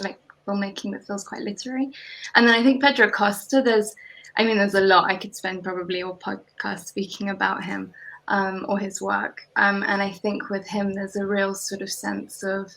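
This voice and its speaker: A young woman from Portugal speaks over a video call, her voice carrying a gravelly fry. She talks in a hesitant, thoughtful way, circling back with fillers and restarts as she answers interview questions. Her pitch sits in the ordinary middle for a woman. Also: fairly bright tone, rough recording. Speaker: guest curator. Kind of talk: casual interview conversation.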